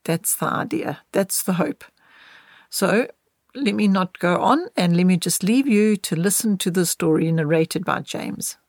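A middle-aged woman speaks as a podcast host in a fairly low voice. She talks conversationally and gently, often repeating a phrase for emphasis. The sound is very dry, with hardly any room. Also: a little slow, no room sound. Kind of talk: casual, conversational podcast introduction.

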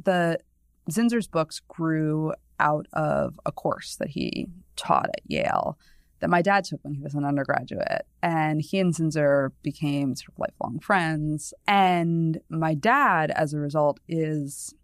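New england woman, 30s, podcast guest, low voice with vocal fry, rambly and chatty, dry close mic. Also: a little slow, no room sound. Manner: chatty, discursive, many "you know" asides, laughs easily. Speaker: guest, newspaper columnist. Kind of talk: casual podcast conversation.

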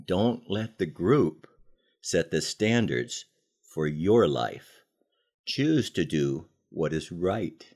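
Deep-voiced older American man telling stories. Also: a little mellow. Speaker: podcast host telling army memories. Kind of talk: conversational storytelling with moral lessons.

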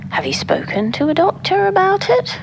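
An actress playing a concerned middle-aged mother in an audio drama, gently and breathily reassuring her grown daughter. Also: extremely high pitch, sing-song, moderate pace, exaggerated voice, poor audio. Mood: concerned, soothing. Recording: clear background noise, ambience.

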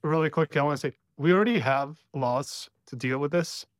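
A man's voice: fairly high pitch, brisk, no room sound, clean studio sound. A man giving blunt, emphatic opinions as a panelist in a casual podcast discussion.

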